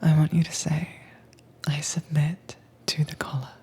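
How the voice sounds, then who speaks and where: A woman acts out a teasingly dominant tamer of captive werewolves in an intimate ASMR roleplay. Her voice is a very breathy, low whisper with a soft, mellow tone. She speaks slowly, coaxing and commanding with calm control. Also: heavily acted. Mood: gently commanding.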